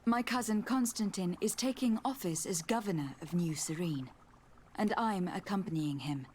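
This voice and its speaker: A woman's voice: fairly low pitch, normal speed, a little mellow, slight background noise, lightly acted. An actress playing a young noblewoman diplomat in a video game, formal, tactful and composed. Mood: courteous, measured. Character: poised young noblewoman diplomat, courteous and measured. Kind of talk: scripted video game dialogue.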